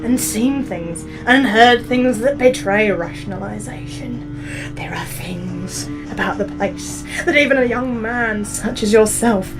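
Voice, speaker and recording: A woman's voice: mid-range voice, far from the mic, close to an everyday voice, rough recording. A woman playing a creepy, over-familiar male stranger in a comic gothic drama, unctuous and ominous, drawing out the words. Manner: ominous, unctuous, drawn-out delivery.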